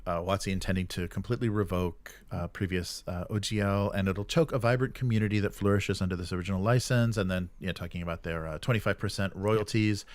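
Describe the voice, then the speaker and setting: Male co-host, low register, casual news commentary with halting, agreeable delivery, very dry recording. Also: steady tone, no room sound. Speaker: co-host of a tabletop gaming news show.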